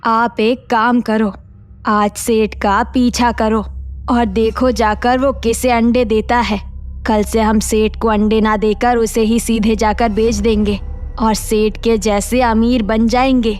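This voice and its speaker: A woman playing a shrewd, aging village wife in a cartoon, giving advice in a measured, matter-of-fact way. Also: a little high, flat delivery, soft background music. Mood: suspicious, advising.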